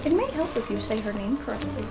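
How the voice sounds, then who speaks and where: A woman's voice: fairly high pitch, lively intonation, fast talker, vocal fry, noisy background. A woman chatting casually as a player in an improvised tabletop roleplaying game.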